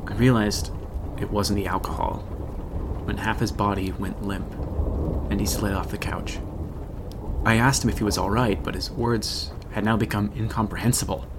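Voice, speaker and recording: Male actor as haunted storyteller, low gravelly voice, solemn, regretful, horror radio drama, storm noise behind. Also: close to an everyday voice. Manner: deliberate, solemn, ominous storytelling. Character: haunted grown man recounting a teenage horror, grave, regretful.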